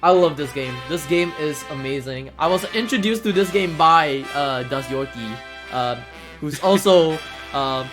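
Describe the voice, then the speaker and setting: A man's voice: a little high, lively intonation, unhurried. A man giving casual, hesitant live commentary as he speedruns a video game, often repeating words. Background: game music.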